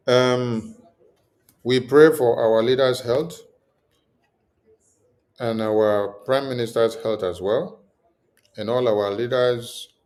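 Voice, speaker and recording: A man's voice: on the low side, darkish tone. Man hosting a live stream. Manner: repetitive, pleading requests to viewers. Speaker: livestream host. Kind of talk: live-streamed political address.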